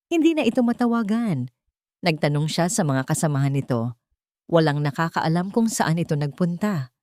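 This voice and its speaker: A Filipino woman narrates a scripted love story in a lowish voice. Her delivery is measured and dramatic, set in short phrases with pauses. The sound is close and dry. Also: a little mellow, dry recording.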